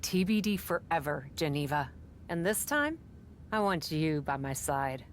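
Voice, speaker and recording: An actress playing a determined, loyal wrestler in a video game cutscene, earnest and conversational. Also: female voice, sits low, expressive, measured pace, slight background noise. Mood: determined, sincere. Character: determined, sincere woman wrestler, loyal friend.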